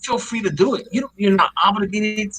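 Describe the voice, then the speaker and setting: A man hosting a comic book panel on a live stream, laid-back and loose. His voice sits a bit high and sounds bright and forceful, and he drops "man" into most sentences. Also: fairly quick, slight background noise.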